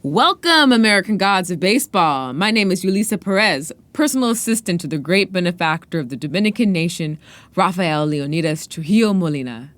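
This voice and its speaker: A woman's voice: lowish voice, expressive, brisk, fairly bright tone, no echo. A woman voicing a commanding, glamorous female propagandist in a novel, exhorting listeners in a grandiose, dramatic reading style. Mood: grand welcome. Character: tall, glamorous, commanding young woman in army fatigues, fervent propagandist.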